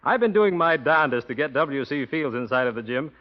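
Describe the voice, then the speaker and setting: A man's voice: mid-range voice, lively intonation, brisk. American guy, old time radio promo talk, scripted delivery, dull lo-fi sound. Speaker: guest fitness expert promoting soap. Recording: muffled old radio broadcast.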